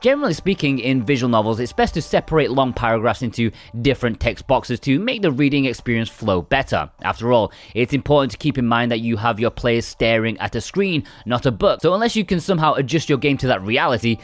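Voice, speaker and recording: Man reviewing games, lively dry-room narration. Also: mid-range voice, quick pace, hardly any pauses, dry recording, faint music behind. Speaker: video game reviewer.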